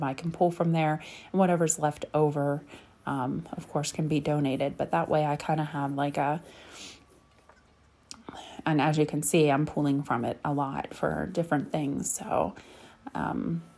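A woman's voice: low voice, mellow. A woman in her thirties chatting casually over her home cleaning video, rambling with lots of ums. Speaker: cleaning vlogger. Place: home bedroom.

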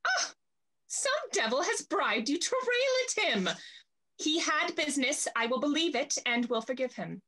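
Young woman doing a jealous haughty stage lady, super melodramatic, high bright voice, all over the place. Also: sing-song, normal speed, exaggerated voice. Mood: wounded, haughty. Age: young adult. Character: jealous, imperious jilted upper-class lady in Restoration comedy. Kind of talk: theatrical period stage acting over video call. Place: indoor room at home, on video call.

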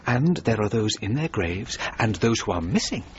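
Radio drama: witty, idealistic architect, middle-aged, urbane, formal; muffled, noisy sound. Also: male voice, sing-song, normal speed. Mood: sombre, searching. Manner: eloquent, urbane, formal phrasing. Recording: muffled radio recording with rumbling noise.

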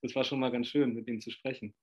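A man's voice: medium pitch, steady tone, fast talker, husky. A man in his fifties interviewing over a video call from a small room, talking in a rambling, effusive way full of fillers. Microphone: laptop webcam on video call.

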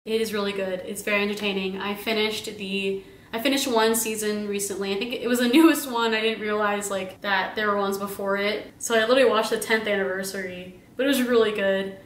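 A woman's voice: mid-range voice, steady tone, brisk. Bubbly young woman in a roomy kitchen. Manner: bubbly, giggly, rambling with many fillers. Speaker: vlogger. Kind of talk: casual chatty grocery haul. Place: home kitchen, indoors. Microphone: camera a few meters away. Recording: roomy kitchen sound, camera at a distance.

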